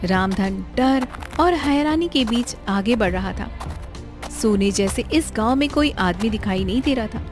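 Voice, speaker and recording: High-voiced woman narrating a story. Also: animated, measured pace. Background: background music.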